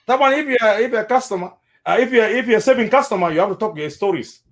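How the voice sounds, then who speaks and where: South sudanese guy, high voice that jumps around a lot, repeats himself, casual chat. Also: fast talker. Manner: animated, repeats words, mixes in Arabic phrases. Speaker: interviewee. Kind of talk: casual spoken commentary.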